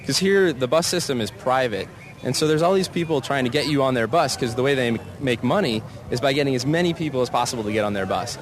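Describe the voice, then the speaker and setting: A man's voice: mid-range voice, fast talker. A man with an American accent answering casually in an outdoor street interview. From United States. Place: outdoors in a busy city square. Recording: busy outdoor crowd and traffic.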